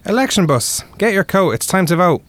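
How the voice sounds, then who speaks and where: An actor playing a brisk, cheeky young bus driver with a Dublin accent in a radio drama, blunt and teasing. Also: male voice, high voice, sing-song, fairly bright tone. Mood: brisk, insistent. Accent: Dublin Irish. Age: young adult.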